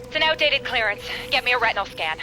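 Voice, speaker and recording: An actress playing an urgent, efficient mission handler who gives clipped information in a video game cutscene. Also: female voice, expressive, quick pace, close to an everyday voice, poor audio. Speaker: remote intelligence handler. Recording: loud music and rumble behind.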